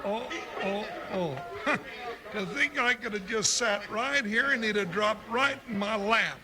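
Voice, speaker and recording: An actor playing a big, blustery comic cowboy sidekick in a 1950s radio Western, with a folksy, exclamatory American Western drawl. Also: male voice, high-pitched, a little slow. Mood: exasperated. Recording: noisy, clicking 1950s radio recording.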